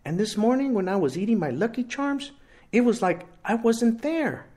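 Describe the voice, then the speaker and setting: Soft, near-whispered sick teen boy, as a man puts him on, high and frightened, storybook reading. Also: expressive, normal speed. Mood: puzzled.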